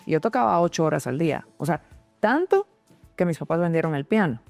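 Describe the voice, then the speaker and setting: A woman's voice: lowish voice, big pitch swings, a little fast, gravelly, darkish tone, no echo, faint music behind. A woman, speaking casually and reflectively as an interview guest. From Guatemalan, grew up in Texas, United States. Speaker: interview guest, singer-songwriter and doctor.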